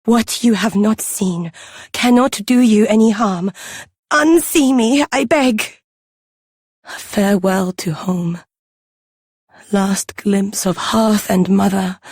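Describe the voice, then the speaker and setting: An actress playing a gentle, fearful young maiden in a video game, speaking solemnly and pleadingly in halting phrases. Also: female voice, fairly high pitch, no room sound, clean studio sound.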